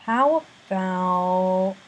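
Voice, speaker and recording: Young woman vlogger, fairly low voice, casual and rambling, lots of ums, bedroom webcam recording. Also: lively intonation, very slow, highs cut off. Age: young adult. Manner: chatty, frequent ums, self-deprecating asides. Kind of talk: casual chat and reading aloud. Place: small bedroom with bunk bed, indoors. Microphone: built-in webcam mic.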